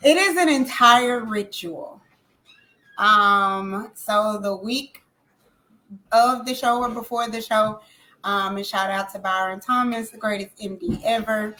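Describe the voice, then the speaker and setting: A woman singer speaking in a casual interview, emphatic and animated, often repeating phrases for effect. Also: medium pitch, takes it slowly, long pauses, rough recording. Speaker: singer guest.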